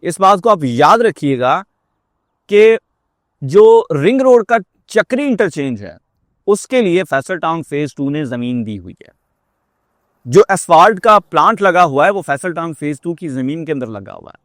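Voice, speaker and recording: A man's voice: on the high side, sing-song, no room sound, clean studio sound. A man with a Pakistani accent giving a persuasive market update as a real estate marketer, explaining emphatically and dropping in English phrases.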